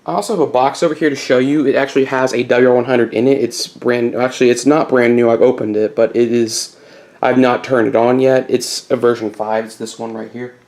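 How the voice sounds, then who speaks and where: A man's voice: medium pitch, steady tone, brisk, darkish tone. A man giving a casual, unscripted show-and-tell as a hobbyist video host, rambling a bit and correcting himself with mild enthusiasm.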